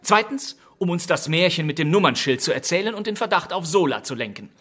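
High-voiced, deliberate gentleman detective. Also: expressive. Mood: pointed, smug. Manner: deliberate, theatrical, pointed. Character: suave male detective exposing the culprit. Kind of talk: radio crime drama acting.

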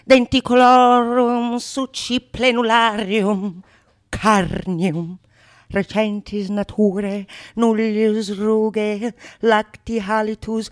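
A pompous schoolmaster played by a woman declaims in a theatrical radio comedy. Each syllable is stretched out grandly, loud, high and very deliberate. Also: smooth, no echo, clean studio sound. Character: pompous male pedant schoolmaster spouting Latin. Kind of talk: stage monologue radio drama.

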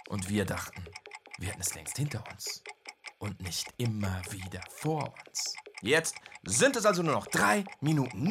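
Ominous doomsday narrator for radio play, super low voice, slow n theatrical. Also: female voice, fairly bright tone, slight background noise, exaggerated voice. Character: ominous self-styled Big Brother, doomsday narrator hacking into fears.

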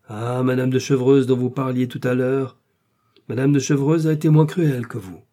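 Dark-voiced, lovestruck duke, acted by a man. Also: medium pitch, fairly even intonation, moderate pace, natural voice. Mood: yearning. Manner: fervent, pleading, theatrical declamation. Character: passionate, lovestruck English duke, reckless and melancholy.

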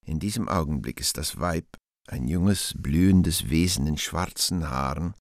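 A man narrates a literary radio drama. His voice is extremely deep, set in a dry studio with no room sound. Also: unhurried, creaky, dry recording.

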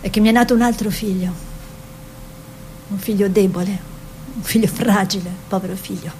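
An actress playing an Italian woman painter and tender, anguished mother in a radio drama, with deliberate, lyrical phrasing. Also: fairly even intonation, a little mellow, lightly acted, rough recording. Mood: anguished, guilty. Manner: deliberate, lyrical phrasing with short repeated sentences. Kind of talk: literary stage-style radio drama monologue and dialogue. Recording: faint background noise with low rumble.

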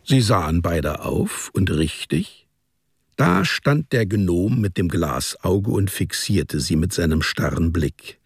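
A man narrating a literary radio drama in a deliberate, descriptive storytelling manner. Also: low-pitched, big pitch swings, creaky, breathy edge, dry recording, clean studio sound.

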